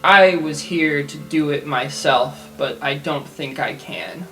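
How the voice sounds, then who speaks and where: Game role: male player as guarded hired killer, deadpan, unhurried, music behind. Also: a little high, exaggerated voice. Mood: defensive, matter-of-fact. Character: laconic mercenary assassin who enjoys killing.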